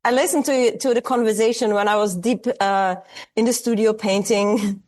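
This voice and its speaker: A woman's voice: medium pitch, steady tone, normal speed, a little mellow. A woman in her forties from Austria with an Austrian German accent, a German native speaker, reflecting thoughtfully as an artist guest, hesitant with many fillers and restarts. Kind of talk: reflective interview conversation.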